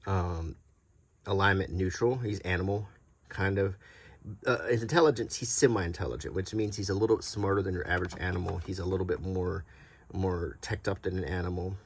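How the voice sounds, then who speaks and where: A middle-aged man doing a casual, unscripted show-and-tell as a video host, talking in a halting, rambling, conversational way. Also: deep voice, breathy edge. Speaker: YouTube host showing vintage tabletop game monster cards.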